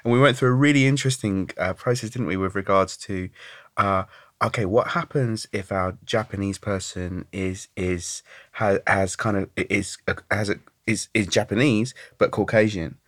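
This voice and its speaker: A man's voice: fairly low pitch, moderate pace, a bit bright, no echo, studio quality. A man, an artist, chatting on a podcast and often stopping to restart his sentences. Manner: hesitant, many fillers and restarts. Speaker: artist and dialogue director. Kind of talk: casual podcast chat.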